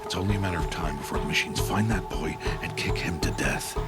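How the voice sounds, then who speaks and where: Deep, stern hunter mentor. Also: male voice, fast talker. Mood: tense, forbidding. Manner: commanding, terse, instructive. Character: stern gruff middle-aged male outcast hunter, protective mentor to a girl. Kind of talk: video game cutscene acting. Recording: loud music under the voice.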